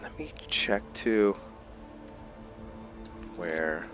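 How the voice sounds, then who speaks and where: A man's voice: fairly low pitch, extremely slow, a bit bright, soft background music. A man doing casual let's play commentary, thinking aloud to his viewers and slipping into roleplay. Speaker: gaming video host.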